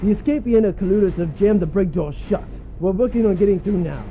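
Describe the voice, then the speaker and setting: A man's voice: sits high, brisk, warm tone, slight background noise, natural voice. A man playing a dutiful minion guard in a comic audio drama, deferential and matter-of-fact. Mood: urgent reporting. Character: dutiful, casual male minion guard reporting in.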